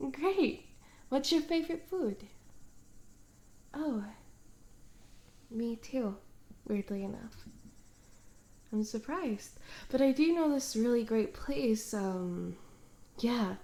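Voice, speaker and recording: A woman playing a smitten, awkward tomboy stalker in an intimate one-sided ASMR roleplay, hesitant and soft-spoken, trailing off with small hums. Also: sits high, pitched above the performer's own voice, heavily acted.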